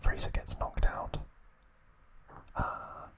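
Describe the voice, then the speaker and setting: Male ASMR host, fully whispered, soft and unhurried throughout. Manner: soft unvoiced whisper, slow and even; brief aside to his cat.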